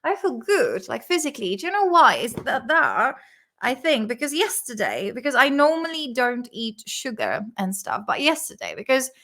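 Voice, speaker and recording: Young Czech woman, vlog host; crisp, bright tone, a bit high; loose, sweary, giggly study-along chat. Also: wildly swooping pitch. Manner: chatty, swears freely, laughs often; sounds words out slowly and repeats them.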